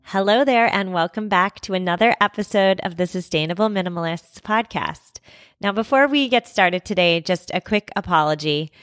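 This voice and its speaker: Woman in her thirties, scratchy cold-roughened voice, mid-pitched, candid chatty podcast host, dry close sound. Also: normal speed, fairly bright tone, no echo, soft background music. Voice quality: scratchy, gruff. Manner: conversational, candid, lightly self-mocking. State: hoarse from a cold. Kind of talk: scripted podcast monologue.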